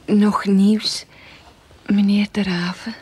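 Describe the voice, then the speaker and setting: A woman playing an anxious, naive old widowed mother in a radio play, breathy, hesitant and stammering. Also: mid-range voice, level tone, slow pace, a little mellow, slight background noise, natural voice. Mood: worried, tearful.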